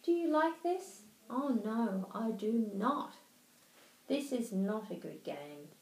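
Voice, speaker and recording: A woman's voice: a little high, lively intonation, takes it slowly, gravelly, a little mellow, heavily acted. An Australian woman voicing a fussy, indignant male pet fish from a storybook, scolding with emphatic delivery. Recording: roomy home recording, faint noise.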